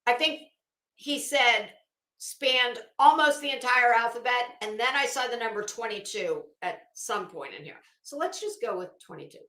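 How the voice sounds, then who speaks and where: A woman's voice: fairly high pitch, animated, normal speed, pushed and bright. A woman commentator reading aloud and riffing in a sarcastic, taunting, emphatic way, blunt and given to swearing. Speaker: online commentator reading a legal motion aloud. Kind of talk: dramatic reading with mocking asides. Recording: echoey room.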